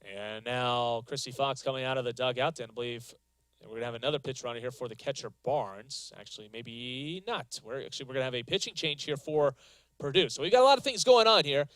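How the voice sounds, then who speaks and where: A man calling live softball play-by-play as a sports announcer, moving between measured stat recaps and calls of the action. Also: medium pitch, smooth, no room sound, rough recording. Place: live broadcast from a softball stadium.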